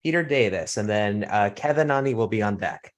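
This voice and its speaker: A man's voice: lowish voice, lively intonation, a little fast. Man casually hosting a Q&A. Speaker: host taking audience questions.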